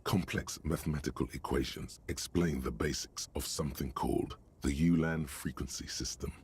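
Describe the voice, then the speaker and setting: A man voicing the omniscient narrator of a detective video game, delivering scripted descriptions in a slow, weighty way. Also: a bass voice, steady tone, a little mellow, husky, heavily acted. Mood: calm.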